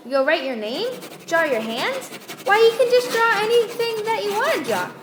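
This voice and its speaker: An extremely high, animated voice bounces around an echoey room. It is a woman acting a perky old-time radio pitchwoman, coaxing children with singsong questions. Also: moderate pace. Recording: echoey room, faint noise.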